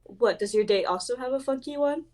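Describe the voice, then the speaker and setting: A woman's voice: high voice, fairly quick, a little mellow, lightly acted. A woman playing a playful, teasing young woman in a casual dramatic reading. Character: playful, teasing young woman named Bellavia sharing a ride.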